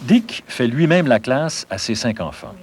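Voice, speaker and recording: A man narrating a news documentary in a measured, scripted delivery and putting questions to interviewees. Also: medium pitch, big pitch swings, a crowd in the background.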